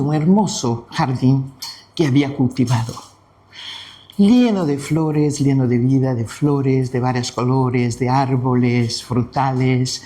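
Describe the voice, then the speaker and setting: Slow, gentle Brazilian man speaking Spanish. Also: medium pitch. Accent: Brazilian Portuguese. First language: Portuguese. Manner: gentle, unhurried, with frequent pauses. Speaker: spiritist study presenter. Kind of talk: devotional talk with storytelling.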